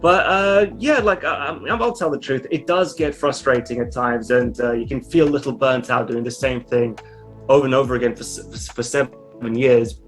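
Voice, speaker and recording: A man in his thirties chats as a guest over a webcam from his living room. He talks in an easygoing, self-deprecating way, stalling and restarting as he goes, at a middling pitch. Faint music plays underneath. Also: moderate pace. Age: 30s. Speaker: YouTube creator guest. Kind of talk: casual video-call interview. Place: home room with sofa.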